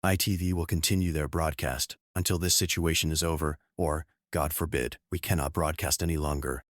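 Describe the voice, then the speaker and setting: A man playing a serious, measured TV news co-anchor reporting live in a disaster drama. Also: extremely low pitch, fairly even intonation, no room sound, clean studio sound. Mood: sober, tense. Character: sober male TV news co-anchor facing catastrophe.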